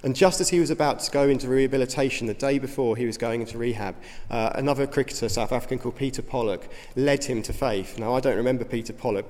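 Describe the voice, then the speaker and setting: A slightly hoarse man speaks in an informal, earnest way, with a few fillers. His voice sits at a middling pitch. The long reverb of a church hall trails each phrase. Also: darkish tone. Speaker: youth ministry director. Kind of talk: church talk.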